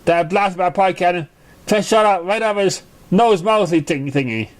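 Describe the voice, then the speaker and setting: A man's voice: high voice, moderate pace, pitched above the performer's own voice, lightly acted. A man voicing a bubbly, scatterbrained young female cartoon pony in a breezy, rambling, chirpy manner. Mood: cheerful, rambling.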